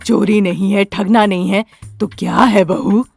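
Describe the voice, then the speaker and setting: A woman's voice: mid-range voice, steady tone, measured pace, creaky, darkish tone, faint music behind. A woman voicing a stern older mother-in-law who talks bluntly and suspiciously in a story. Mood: suspicious, grudging. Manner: scolding, blunt.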